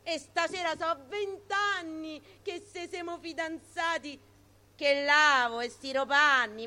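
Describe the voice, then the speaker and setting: A woman recites dialect verse theatrically in a Roman accent. Her voice is high, with a sharp, bright edge. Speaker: poetry reader.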